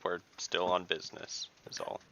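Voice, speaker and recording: Male gamer, very low with heavy fry and a bright edge, casual roleplay, dull online-call audio. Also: monotone, moderate pace. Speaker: player in a tabletop roleplaying game. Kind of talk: casual in-game roleplay talk. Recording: lo-fi online call, faint noise.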